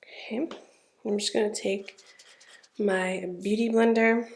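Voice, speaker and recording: A young woman talks her way through a makeup routine at home, her voice a little blocked and stuffy from congestion. She keeps it relaxed and conversational, filling gaps with small words as she works. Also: medium pitch, takes it slowly, vocal fry. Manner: chatty, relaxed, narrating each step with fillers. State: stuffed-up nose. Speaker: beauty vlogger. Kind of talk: casual makeup tutorial narration.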